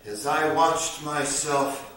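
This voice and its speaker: Guy acting a haunted theatrical storyteller doing a monologue, sardonic, bright forceful voice, really echoey and kinda far from the mic. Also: fairly high pitch. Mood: haunted, hushed wonder. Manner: theatrical, deliberate, with long pauses and sudden outbursts. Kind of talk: dramatic stage monologue storytelling. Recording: roomy echo, distant mic, faint noise.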